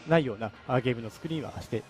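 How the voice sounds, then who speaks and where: Man, game developer, very fast, halting, drawn-out fillers, faint music. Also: medium pitch, a little mellow. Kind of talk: interview answer.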